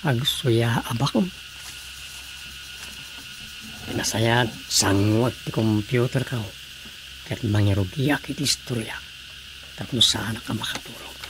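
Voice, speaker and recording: A man's voice: medium pitch, measured pace, natural voice. An actor playing an everyday family man, a husband, in a radio drama, with an Ilocano accent. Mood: weary musing. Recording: noisy track with chirping night crickets.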